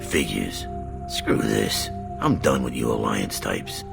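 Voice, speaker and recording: A man playing a bitter, fed-up colony mechanic in a video game, with a gruff, scornful, gravelly delivery. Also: a bass voice, lively intonation, slow, full character voice. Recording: loud music over the voice. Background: loud dramatic music.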